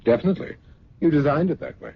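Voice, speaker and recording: An actor playing a suave, candid escort in an old-time radio drama, smooth-talking, wry and breezy. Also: male voice, fairly high pitch, quick pace. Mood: breezy, placating. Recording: muffled, noisy vintage radio recording.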